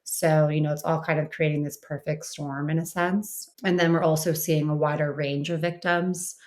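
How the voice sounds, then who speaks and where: A woman's voice: sits low, steady tone, moderate pace. A woman speaking as an interviewed expert, explaining conversationally with frequent "you know" and "kind of". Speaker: interviewed researcher and writer.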